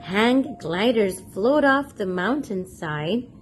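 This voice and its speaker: A woman reads a picture book aloud for small children at a slow, measured pace, with a pitch that rises and falls in lively swings. She speaks expressively and turns to her young listeners with questions. Music plays behind her voice. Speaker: children's read-aloud storyteller and channel host.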